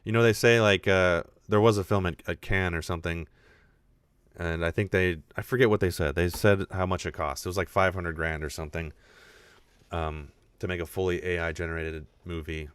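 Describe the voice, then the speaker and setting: A man with a deep voice hosting a live podcast, talking casually and animatedly, thinking out loud with frequent restarts. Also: steady tone, moderate pace, clear, resonant tone, no room sound. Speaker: podcast host.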